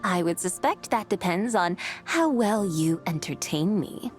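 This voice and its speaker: A woman's voice: fairly low pitch, lively intonation, over music. Haughty, lilting immortal lady. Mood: teasing. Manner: formal, teasing, deliberate. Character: haughty, playful immortal adept lady, coolly condescending. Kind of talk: video game voice acting.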